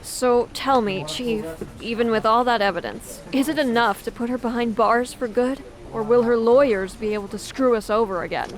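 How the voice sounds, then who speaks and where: Woman playing a tough, highish-voiced policewoman. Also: moderate pace, lightly acted. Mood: stern. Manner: firm, dry, sardonic. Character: tough, no-nonsense, sardonic senior policewoman. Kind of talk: audio drama acting.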